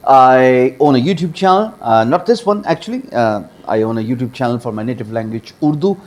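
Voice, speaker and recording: A man in his forties from Pakistan tells his own career story straight to camera, talking with emphatic jumps in pitch and frequent uh fillers. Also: expressive, fairly quick. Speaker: freelancer and YouTuber. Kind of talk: direct-to-camera personal story. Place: small home studio office, indoors. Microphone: small lapel mic.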